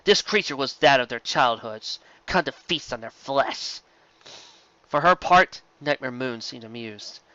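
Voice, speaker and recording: A man's voice: mid-range voice, expressive, a little slow, pushed and bright, dry recording, dull audio. A man reading scripted fiction aloud as a dramatic audiobook narrator.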